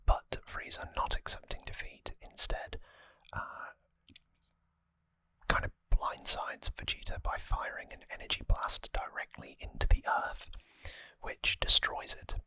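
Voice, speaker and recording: Asmr host whispering right up on the mic, crisp hissy bright sound, casually explaining stuff. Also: no room sound. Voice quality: breathy unvoiced whisper. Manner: soft-spoken, informal, explanatory. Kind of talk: ASMR whispered story recap. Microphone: close microphone, dry.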